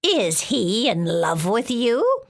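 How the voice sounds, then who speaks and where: A woman voicing a stern, scratchy-voiced female monster in a storybook, speaking slowly with cold menace. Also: on the high side, huge pitch swings, vocal fry, pitched above the performer's own voice, exaggerated voice. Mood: menacing.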